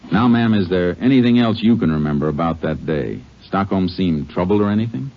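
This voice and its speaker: An American man playing a calm, methodical Texas Ranger in a 1950s radio western, with a terse, matter-of-fact delivery. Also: sits low, a little fast. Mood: methodical, focused. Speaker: lead actor and narrator. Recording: dull old radio recording with music.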